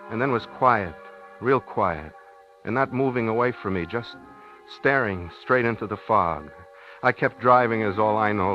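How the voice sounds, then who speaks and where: A man's voice: mid-range voice, natural voice. Man acting anxious American everyman, muffled old radio. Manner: halting, repetitive, plain-spoken. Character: anxious married man who ran someone over, confessional narrator. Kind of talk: 1950s radio thriller acting. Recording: muffled 1950s radio recording with music.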